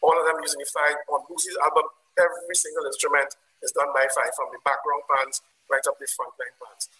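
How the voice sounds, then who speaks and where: A man's voice: a little high, flat delivery, a little fast, sharp tone, low-quality recording. A man presenting his research slides on a video call, with frequent fillers and restarts. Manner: hesitant, many fillers and restarts.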